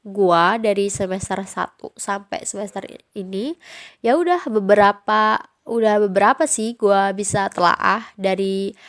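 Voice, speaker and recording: A young woman with a Jakarta slang accent speaks in a relaxed, informal podcast chat. Her voice is audibly nasal and stuffed up from a cold. She leans on casual fillers as she talks, at a middle pitch. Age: young adult. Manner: chatty, informal, frequent fillers. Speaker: podcast host.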